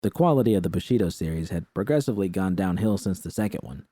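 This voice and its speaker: A man's voice: low-pitched, expressive, fast, warm tone, no room sound. A man reading scripted first-person fiction as an audiobook narrator.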